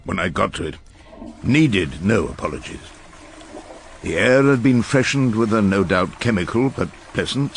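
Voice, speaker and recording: A man's voice: lowish voice, a little hiss or hum. An actor playing an irascible, witty elderly English barrister in a radio drama, pompous and ornate in his phrasing as he talks and narrates. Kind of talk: radio drama acting and wry first-person narration.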